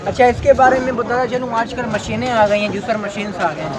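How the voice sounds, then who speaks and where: A man's voice: very high voice, fairly even intonation, a loud crowd. A young man chatting to a phone camera on a travel vlog, explaining things he shows outdoors. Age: young adult. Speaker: vlogger. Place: outdoors at a roadside stop. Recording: noisy outdoor phone recording.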